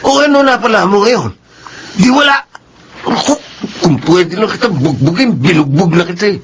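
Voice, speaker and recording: A man's voice: sits high, animated, moderate pace, a bit bright, a little hiss or hum. A Filipino man acting in a radio drama as an angry, scornful crook who bullies his companion.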